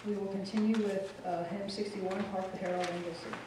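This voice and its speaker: A man's voice: high voice, distant mic, very noisy. Man reading scripture in an echoey church. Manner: steady measured reading. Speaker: scripture reader. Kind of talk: Bible reading in church service. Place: church sanctuary. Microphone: lectern microphone, far camera.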